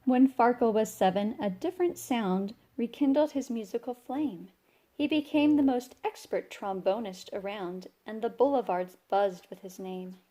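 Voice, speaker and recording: A woman reading a rhyming children's picture book aloud in an expressive, sing-song way. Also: a little high, moderate pace. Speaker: storybook reader.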